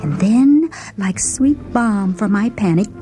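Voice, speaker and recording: Woman narrating a short story, theatrical n expressive, music playing underneath. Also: medium pitch, slow. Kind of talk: dramatic first-person short story narration.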